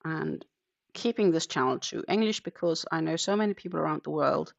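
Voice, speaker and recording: Danish-native woman in her 30s, lower gravelly voice, relaxed live-stream crochet chat, dull lo-fi sound. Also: fairly quick. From Denmark. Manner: relaxed, counts stitches aloud, chatty with viewers. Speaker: crochet channel host. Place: home in the Netherlands.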